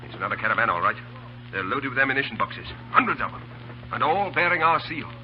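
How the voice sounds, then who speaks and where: An actor playing a witty, steely British army officer with emphatic, theatrical delivery in old radio drama style. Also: male voice, medium pitch, sing-song, fast talker, natural voice. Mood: bitter, accusing. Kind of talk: 1930s radio drama acting. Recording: dull, hissy old radio recording.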